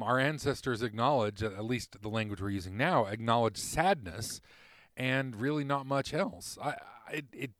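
Halting, reflective male podcast host. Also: mid-range voice, a bit bright, no echo, studio quality. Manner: halting, repeats words and self-corrects often. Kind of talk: reflective solo podcast monologue.

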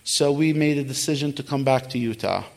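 Gravelly middle-aged man telling his story. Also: mid-range voice, a little fast, a little mellow. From Kuwait. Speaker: guest speaker answering audience questions. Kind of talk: conversational personal storytelling.

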